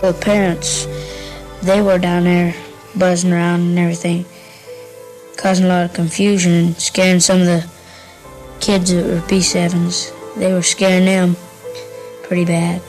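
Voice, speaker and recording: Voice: medium pitch, level tone, moderate pace, long pauses, a little mellow, poor audio. A young witness giving an unscripted account in a 1950s radio interview. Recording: 1950s radio tape, faint music.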